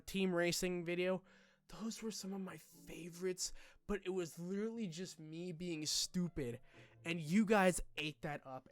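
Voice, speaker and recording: Higher-voiced young man, candid and halting. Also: clean tone. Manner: candid, self-deprecating, halting, informal with swearing. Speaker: YouTuber addressing followers. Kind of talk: casual unscripted vlog talk.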